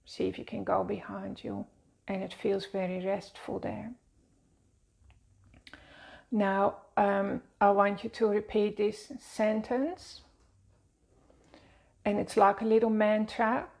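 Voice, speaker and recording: A woman with a Dutch accent guiding a meditation lesson in a slow, gentle, soothing way, seated and speaking to camera. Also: medium pitch, flat delivery, gravelly. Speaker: wellness teacher. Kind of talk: guided meditation teaching. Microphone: seated at a desk, speaking to camera.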